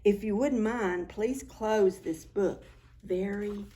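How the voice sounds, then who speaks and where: A woman hosting a preschool story time, reading picture books aloud in an expressive, sing-song way and speaking directly to children. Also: medium pitch, takes it slowly.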